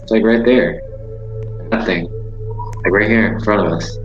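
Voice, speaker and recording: A man's voice: lowish voice, normal speed, soft background music. A man chatting casually as he learns a game, recorded over a dull, roomy voice chat.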